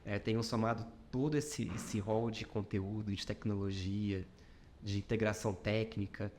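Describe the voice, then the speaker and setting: A man's voice: lowish voice, fairly even intonation, moderate pace, darkish tone, slight background noise. A man with a Minas Gerais accent, a company founder being interviewed on a podcast, speaking reflectively with many fillers and short clauses. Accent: Minas Gerais Brazilian Portuguese. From Brazil.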